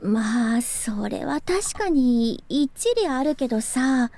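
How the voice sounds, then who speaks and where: A woman voicing a bright, teasing young coffee shop worker in a visual novel, in a casual, playful way. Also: on the high side, lively intonation, a little slow. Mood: easygoing. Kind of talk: Japanese visual novel voice acting.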